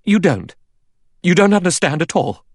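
A man voicing a hard, defensive young woman who speaks in a sharp, insistent, agitated way. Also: lowish voice, animated, slow pace, no room sound, studio quality, voice pushed up, heavily acted.

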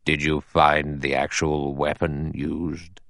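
A man reads the part of a cool, commanding old judge in a storybook reading, weighing each word in a slow, very deep voice. The voice is close and dry, with no room around it. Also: little pitch movement, creaky, no echo, exaggerated voice. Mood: cool, deliberate. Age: older. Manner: measured, precise, quietly authoritative.

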